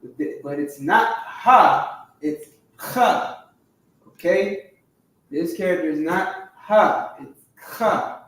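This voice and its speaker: A man with a fairly high voice teaching slowly, pausing often to check that his students follow along. He sounds off from the mic in a very echoey room. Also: expressive, a bit bright, recorded from a distance. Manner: slow, repetitive, checks in with "all right" and "you understand". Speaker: Hebrew class teacher. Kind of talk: classroom teaching over a chart.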